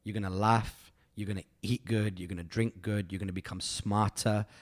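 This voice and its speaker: A man's voice: on the low side, fairly even intonation, normal speed. A man, hosting a podcast and reflecting on his year in a rambling, earnest way full of fillers. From United Kingdom. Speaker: podcast host. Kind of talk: casual reflective chat.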